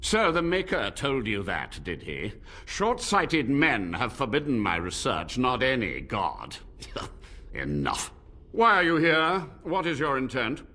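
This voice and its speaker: An actor playing a proud, bitter old male blood mage in a fantasy game, speaking gravely. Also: medium pitch, wildly swooping pitch, slow, bright, forceful tone, heavily acted. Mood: scornful.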